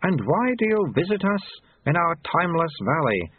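A grave monastery abbot with a babyish streak performed by a male narrator questions visitors. The storybook voice is very high and swoops from solemn speech into infant squeals. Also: very animated, moderate pace, breathy edge, phone-quality sound. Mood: imperious. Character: reincarnated abbot, grave old leader with babyish squeaky outbursts.

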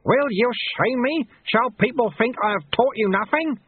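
A wily old monk played by a male storyteller lectures his pupil in a storybook. The voice is high, swooping and stern, with a bossy, needling snap. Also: expressive, moderate pace, slightly rough, no room sound, narrow, tinny audio. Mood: angry. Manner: snapping, growling, needling.

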